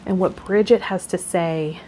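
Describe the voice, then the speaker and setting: A woman hosting a tarot vlog, talking in a gentle, encouraging way as she reads and explains. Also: lowish voice, steady tone, measured pace, slight background noise, low-quality recording. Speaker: tarot reader and channel host. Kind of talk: reading aloud and casual spiritual vlog talk.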